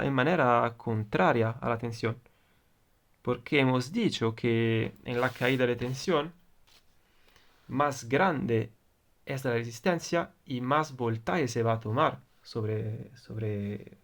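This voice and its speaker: Italian guy explaining circuits in a foreign language, bit gravelly, keeps repeating himself. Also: medium pitch. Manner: repeats and rephrases points, restarts often. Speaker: teacher. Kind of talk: explaining electronics on video.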